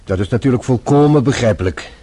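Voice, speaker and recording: A man acting in a radio play as a French police inspector, formal, patient and probing, with deliberate phrasing. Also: mid-range voice, dark tone, breathy edge, lightly acted. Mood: courteous, measured.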